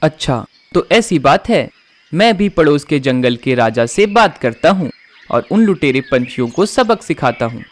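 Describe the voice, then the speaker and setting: A man's voice: on the high side, big pitch swings, unhurried. A man voicing a bird king in a cartoon, speaking with authority and giving orders. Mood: commanding.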